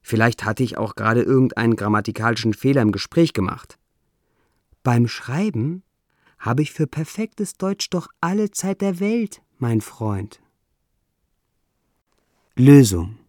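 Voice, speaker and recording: Guy with a foreign accent in german, reads his own essay slow and wry, dry close sound. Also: mid-range voice, expressive, darkish tone, no echo. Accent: non-native German, trouble with umlauts. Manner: measured, deliberate delivery with dry humor. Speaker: writer reading his own text. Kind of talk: wry, self-ironic essay reading.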